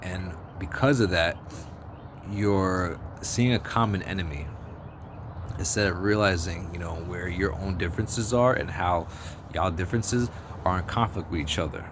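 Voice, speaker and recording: A man with a deep bass voice giving a blunt, profane, rambling opinion monologue with many restarts. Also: normal speed. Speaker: vlogger. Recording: outdoor street noise with passing vehicles.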